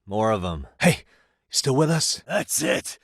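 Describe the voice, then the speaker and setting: A man's voice: medium pitch, very animated, unhurried, gravelly, husky, no room sound, clean studio sound, heavily acted. An American man playing a wry, streetwise private detective in a video game, speaking casually and sardonically in short lines and asides. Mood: exasperated. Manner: casual, sardonic muttering with frequent sighs.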